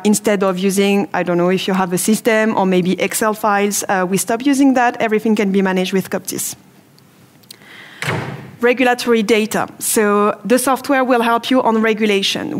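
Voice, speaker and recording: French-accented woman giving a product talk. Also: mid-range voice. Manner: explanatory, steady, methodical walkthrough. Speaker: company presenter at a trade fair. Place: trade fair stage.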